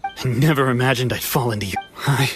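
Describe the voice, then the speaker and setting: A man's voice: medium pitch, brisk, a bit bright, faint music behind, lightly acted. A man voicing a defeated swordsman in a video game, resigned to his fate.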